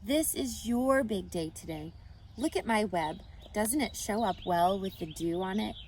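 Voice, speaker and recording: A woman voicing a gentle, wise, aging female spider in a storybook, weak and soft, speaking in measured, articulate phrases. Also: mid-range voice, animated, slight background noise, natural voice. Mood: sad, tired.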